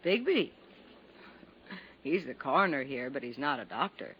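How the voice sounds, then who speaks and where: A resentful, middle-aged American housekeeper from a small village in a radio play. Her voice is sharp and forceful. She speaks in a folksy, clipped way with a sardonic edge. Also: extremely low pitch, big pitch swings, moderate pace, slight background noise, heavily acted, rough recording. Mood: dismissive. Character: bitter, resentful older village housekeeper, a spinster. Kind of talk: old-time radio drama acting.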